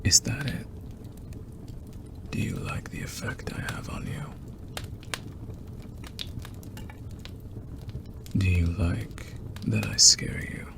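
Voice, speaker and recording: Male actor as a possessive werewolf, low gravelly murmur, whispery, seductive menace, intimate ASMR roleplay. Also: wildly swooping pitch, heavily acted. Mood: teasing, predatory. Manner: slow, teasing, menacing seduction.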